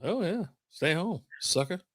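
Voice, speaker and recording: A man's voice: sits high, animated, measured pace, a bit bright, dry recording. Easygoing chuckling man, dry sound. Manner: easygoing, chuckling, swears casually. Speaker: podcast co-host. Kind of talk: casual chat.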